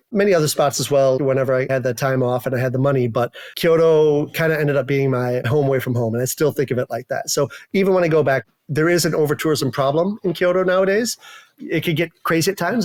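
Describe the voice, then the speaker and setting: A man from the United States, a podcast guest, talking in a relaxed, easygoing way. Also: medium pitch. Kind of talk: conversational interview.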